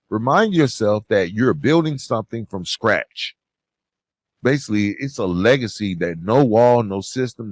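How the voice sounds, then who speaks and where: Low-voiced man giving a motivational talk. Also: darkish tone, no room sound. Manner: conversational, addresses listeners directly as bro, man, guys. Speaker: podcast host. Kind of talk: motivational podcast monologue.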